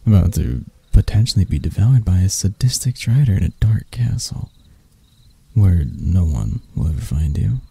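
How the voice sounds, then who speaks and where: A man voicing a sadistic, seductive male spider-elf predator in intimate ASMR roleplay, breathy and teasing with his endearments. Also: deep voice, very animated, warm tone, exaggerated voice. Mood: predatory, teasing. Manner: slow, teasing, purring endearments.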